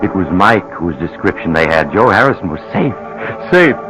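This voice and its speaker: An actor in an old-time radio drama serving as the grave, ominous, deliberate narrator of a thriller. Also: male voice, sits low, sing-song, music in the background, poor audio.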